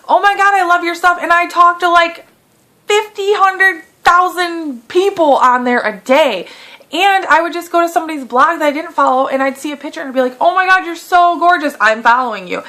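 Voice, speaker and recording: A woman's voice: very high voice, fairly quick. A woman in her late twenties chatting through a casual beauty Q&A vlog, full of fillers, with a lingering cough. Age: 20s. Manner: chatty, lots of fillers, animated quoting. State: lingering cough, clearing throat. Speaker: beauty vlogger.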